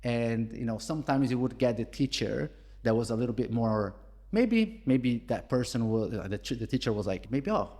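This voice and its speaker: A man's voice: medium pitch, animated, normal speed, mellow. A man from Brazil, a podcast guest, chatting casually and rambling with lots of restarts. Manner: rambling, many restarts and fillers. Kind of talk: casual chat.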